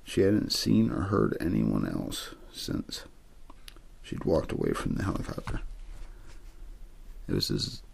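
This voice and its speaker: A man reading a story in ASMR style, untheatrical and meant to lull listeners to sleep, with a low, gravelly, husky voice. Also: wildly swooping pitch, slow, pauses often. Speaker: amateur story reader.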